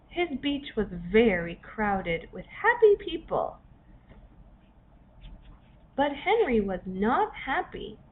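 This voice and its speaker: A woman reads a children's story slowly and expressively, pausing between short phrases for drama. Her voice is fairly high and swings widely in pitch. The recording is a little dull. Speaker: author reading own story. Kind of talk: children's story narration for young learners. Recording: dull lo-fi recording, faint noise.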